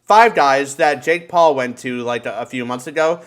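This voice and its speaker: An American man hosting a podcast, chatting casually in an animated, slangy way with plenty of swearing. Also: mid-range voice, moderate pace. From United States. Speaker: podcast host. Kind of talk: casual banter.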